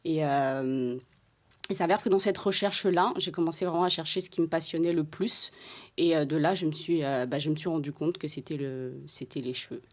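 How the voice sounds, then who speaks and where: A woman's voice: low voice, brisk. A woman from Congo, a hair care brand founder, telling her story in a casual roundtable chat with frequent laughter. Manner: animated storytelling, laughs often.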